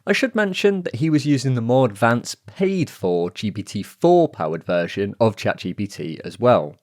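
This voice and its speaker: A man hosting a science podcast, reading scripted narration with a lively, expressive delivery. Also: moderate pace, no room sound, studio quality. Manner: lively, expressive delivery with wide pitch swings. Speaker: podcast host and reporter.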